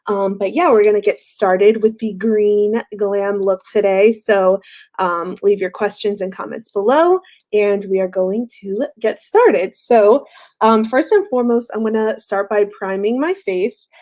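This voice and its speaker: A woman's voice: medium pitch, a little slow, darkish tone. Woman, young adult, makeup tutorial host, recovering from illness, friendly chatty delivery, webcam mic. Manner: chatty, friendly, frequent "um" fillers and light laughs. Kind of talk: casual livestream makeup tutorial.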